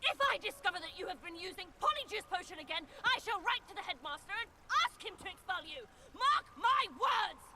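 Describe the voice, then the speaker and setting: A woman voicing an indignant figure who shouts threats and accusations. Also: expressive, a little fast, few pauses, heavy background noise. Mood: furious. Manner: shrill, furious, threatening.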